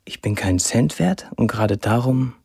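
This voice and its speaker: An actor playing a defiant, self-mocking young Syrian man in a declamatory, deliberate audio drama monologue. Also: mid-range voice, expressive, creaky, a little mellow, slightly rough. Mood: defiant confession. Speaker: actor performing an author's social media posts.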